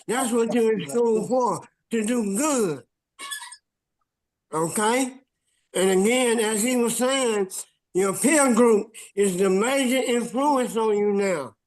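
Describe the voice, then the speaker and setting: A man giving encouraging, instructive advice in conversation, repeating points for emphasis. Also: extremely high pitch.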